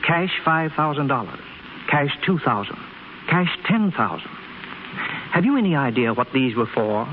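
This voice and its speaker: Courteous male financial advisor played by an actor, medium pitch, 1930s radio acting, thin recording. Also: big pitch swings, normal speed, phone-quality sound, a little hiss or hum, close to an everyday voice. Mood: puzzled. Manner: formal, deferential, hesitant. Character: polite, apologetic, puzzled male financial advisor.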